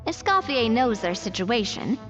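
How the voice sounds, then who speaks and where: High, lively, confident young lady. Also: normal speed, big-room sound, lo-fi sound, faint music behind. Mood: explaining. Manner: playful, reassuring. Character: breezy, confident young woman, teasing and friendly. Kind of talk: video game voice acting.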